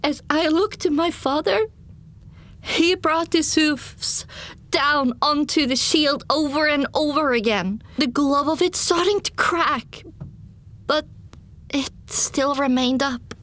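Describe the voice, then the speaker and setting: A woman narrating an audiobook in the first person, dramatic, grave, deliberate and intense. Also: sits high, fairly bright tone.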